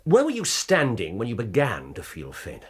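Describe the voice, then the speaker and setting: A commanding English detective in a radio play. His voice is husky and highly animated in pitch. He questions incisively, with deliberate, authoritative phrasing. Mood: interrogating. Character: shrewd, commanding male private detective, probing and brisk. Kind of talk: radio drama acting.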